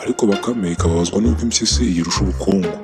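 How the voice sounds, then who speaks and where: A man plays a deep, fast-talking hyena from a Rwandan cartoon folktale. The accent is Rwandan and the delivery is that of a storyteller, with music underneath. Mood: storytelling. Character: gruff hyena creditor in a cartoon folktale, also narrated.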